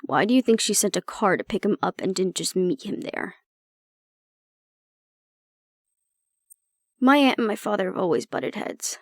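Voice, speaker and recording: A woman's voice: mid-range voice, sing-song, fairly quick, dry recording, studio quality. A woman acting in an audio roleplay as an exasperated, blunt agent, talking intimately and sardonically to the listener. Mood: worried, annoyed. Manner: blunt, sardonic, conversational, swearing. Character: exasperated woman agent venting about her stubborn, disapproving father.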